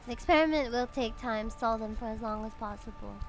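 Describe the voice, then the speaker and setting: A woman's voice: fairly high pitch, fairly quick, soft background music. A woman, chatting casually and reading game dialogue aloud on a livestream. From Philippines. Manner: chatty, reacts with exclamations.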